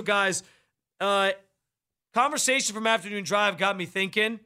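Loud, bright-voiced sports radio man. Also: high voice, smooth. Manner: loud, projecting delivery; fired-up, opinionated. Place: radio studio. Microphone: studio microphone, close.

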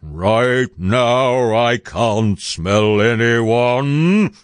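A huge storybook giant, bellowing in a booming, rumbling voice. He is grumpy and menacing, drags his words out slowly and swings widely in pitch. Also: lively intonation, clear, resonant tone, no echo. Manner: loud drawn-out bellowing.

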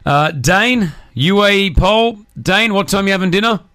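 A man's voice: high voice, normal speed, pushed and bright, no room sound, clean studio sound. A man hosting a radio show, chatting casually with a co-host and taking listener calls, laughing often.

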